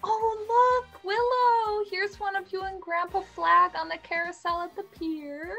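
A woman's voice: very high voice, fairly even intonation, unhurried, creaky, sharp tone, soft background music, heavily acted. A young woman playing a doting, blunt, sassy fifty-year-old mother in a play reading over a video call. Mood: nostalgic, excited. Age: young adult. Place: home bedroom, indoors. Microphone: video call on computer.

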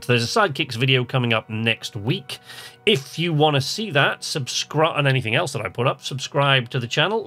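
A man's voice: mid-range voice, bright, forceful tone. A man hosting a gaming channel, giving casual commentary on his playthrough.

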